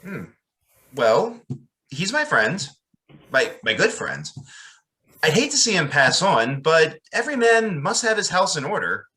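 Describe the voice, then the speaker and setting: An actor playing an earnest, deferential young pastor and family friend in an old-time radio drama, polite and hesitant. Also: male voice, on the high side, big pitch swings, a little slow, long pauses, sharp tone, exaggerated voice. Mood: solemn, gentle.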